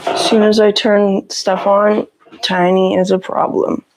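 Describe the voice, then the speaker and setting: A woman with a raspy voice, sick with a cough, chatting casually on a livestream from her home office on a lapel mic and greeting viewers by name. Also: mid-range voice, little pitch movement, measured pace, background noise. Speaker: livestream host. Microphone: lapel mic on her shirt.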